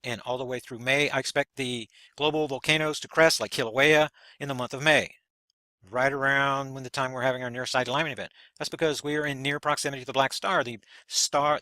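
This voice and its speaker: A man's voice: mid-range voice, pushed and bright, no room sound. A man hosting a video, talking through a chart in a loose, rambling way, often repeating words as he points things out. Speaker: video host explaining a chart. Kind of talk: casual explanatory monologue.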